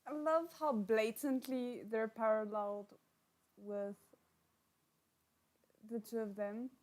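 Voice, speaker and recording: Woman doing laid-back reaction commentary, highish voice, really slow halting delivery. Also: steady tone, darkish tone. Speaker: reaction host. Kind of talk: casual live reaction commentary.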